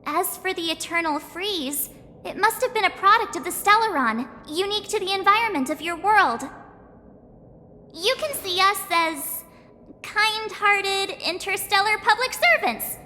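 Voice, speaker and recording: A woman's voice: very high-pitched, expressive, normal speed, pushed and bright, in a large hall, exaggerated voice. A woman voicing a bubbly, eager young girl space traveler with a perky manner in a video game. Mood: earnest explaining. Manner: perky, exclaiming. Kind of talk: English video game dub acting.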